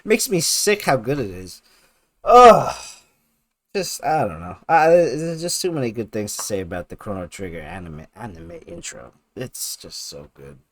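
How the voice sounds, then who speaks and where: A man giving casual, excited video game countdown commentary in a gushing, slangy, emphatic way with heavy swearing. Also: medium pitch, a little slow, no echo. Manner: gushing, heavily profane.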